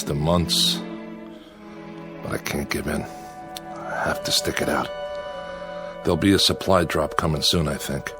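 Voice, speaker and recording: An actor playing a lonely convict exiled on a desert asteroid in an audio drama. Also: male voice, low-pitched. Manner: brooding to himself, eager and hospitable with visitors. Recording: music bed under the voice.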